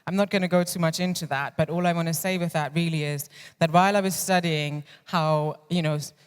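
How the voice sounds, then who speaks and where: A woman's voice: on the low side, fairly even intonation, brisk, smooth. A woman in her thirties from Germany whose first language is German, giving a candid personal talk at a conference as a clinical psychologist. She tells her story reflectively and often restarts her sentences. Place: conference hall. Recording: long reverb of a large hall.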